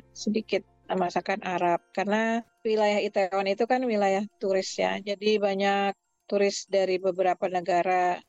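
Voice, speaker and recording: A woman's voice: mid-range voice, a little mellow, dry recording. A middle-aged woman from Yogyakarta with an Indonesian accent, answering interview questions conversationally in lo-fi audio. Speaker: interview guest, restaurant owner.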